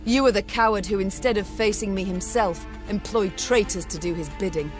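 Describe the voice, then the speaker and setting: A woman's voice: mid-range voice, a little fast, soft background music, close to an everyday voice. Woman acting a proud, stern warrior queen. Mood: defiant anger. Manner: stern, commanding, direct. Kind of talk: dramatic video game acting.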